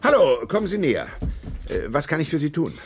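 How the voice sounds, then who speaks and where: An actor in a German radio play, playing a boastful, scheming animal park director, effusive and emphatic, with hesitations and repeated words. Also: male voice, fairly high pitch, very animated, a little fast, background noise. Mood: welcoming.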